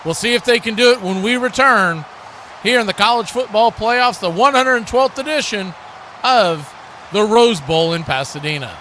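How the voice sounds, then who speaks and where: A man's voice: high-pitched, moderate pace, bright, forceful tone. A man doing live play-by-play commentary of a football game as a sports announcer. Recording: noisy live stream, clear background noise.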